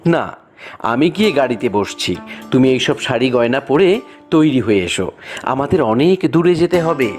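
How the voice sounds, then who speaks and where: A man's voice: mid-range voice, expressive, normal speed, a little mellow, faint music behind, lightly acted. An actor voicing a boastful, commanding king from a fairy tale in a cartoon, grand and declarative. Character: boastful, commanding suitor king of a mining land.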